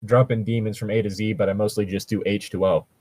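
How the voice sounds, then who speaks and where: A man's voice: on the low side, steady tone, fast talker, darkish tone, no echo. A man co-hosting a podcast in casual banter and mock story reading, with a wry, sweary manner and a fondness for puns. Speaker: podcast co-host.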